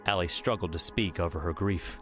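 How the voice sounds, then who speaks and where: A man's voice: deep voice, a little fast, fairly bright tone. A man narrating a scripted audiobook story, with music playing underneath.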